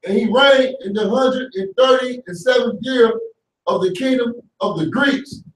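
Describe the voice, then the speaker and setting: A man reading a passage aloud in a class, slow and halting. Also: very high-pitched. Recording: echoey room, heard from far off.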